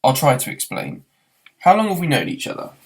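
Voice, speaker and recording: A man's voice: fairly high pitch, big pitch swings, a little fast, voice pushed up. A man voicing a brusque, unflappable male space hitchhiker in a storybook, curt and matter-of-fact. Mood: explaining.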